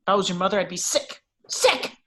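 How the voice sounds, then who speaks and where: A woman's voice: lively intonation, fairly quick, fairly bright tone, lightly acted. A woman voicing a hysterical, overprotective middle-aged mother who scolds the children. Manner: shrill, scolding. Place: home.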